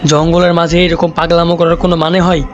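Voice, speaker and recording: A man playing a stubborn, excitable young traveller in a cartoon folk tale, with dramatic delivery. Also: a little high, quick pace, natural voice. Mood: irritated. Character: irritable young male traveller, stubborn sceptic. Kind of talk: cartoon dialogue acting. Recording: slightly dull audio, music behind.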